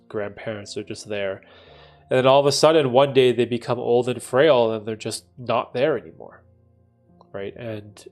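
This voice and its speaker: A man in his late thirties hosting a casual livestream, talking in a thoughtful, rambling way and often tagging his sentences with "right?". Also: fairly low pitch. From Canada. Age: 30s. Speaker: livestream host answering chat. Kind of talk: casual reflective livestream chat.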